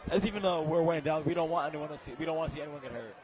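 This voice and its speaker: A young man with a hoarse, strained voice doing excitable live play-by-play commentary outdoors at a football game. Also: fairly high pitch, very fast. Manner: excitable, shouts on big plays. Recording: loud outdoor stadium crowd noise.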